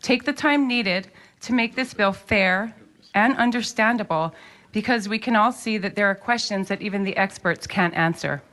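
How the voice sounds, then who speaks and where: A woman's voice: mid-range voice, moderate pace, a bit bright. Pleading woman testifying at a hearing. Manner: pleading, pointed, urgent. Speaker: member of the public testifying. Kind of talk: formal public testimony.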